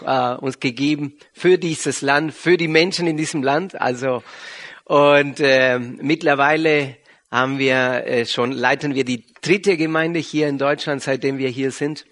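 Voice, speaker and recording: A middle-aged man with an Argentine accent and native Spanish, speaking haltingly to a congregation as a visiting pastor. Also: mid-range voice, fairly bright tone. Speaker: visiting pastor and regional church leader. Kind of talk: sermon introduction to a congregation.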